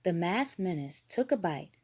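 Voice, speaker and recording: A woman reading a children's storybook aloud in an expressive narrating style. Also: fairly low pitch, a little slow, slightly rough.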